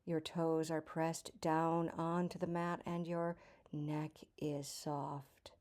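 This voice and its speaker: Slow, low-voiced woman guiding yoga. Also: flat delivery, a little mellow. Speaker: yoga teacher. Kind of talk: calm guided yoga class instruction.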